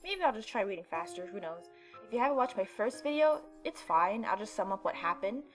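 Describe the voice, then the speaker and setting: A woman's voice: medium pitch, big pitch swings, a little fast. A young woman with a mid-range voice hosts a casual gaming playthrough. She moves between reading aloud and informal, offhand commentary, with faint music behind her. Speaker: gaming YouTuber.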